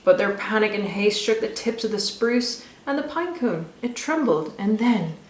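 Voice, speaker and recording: A woman reading a rhyming children's story aloud with expressive, rhythmic delivery. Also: mid-range voice, normal speed, slight background noise. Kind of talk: scripted storybook narration for children. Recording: echoey room.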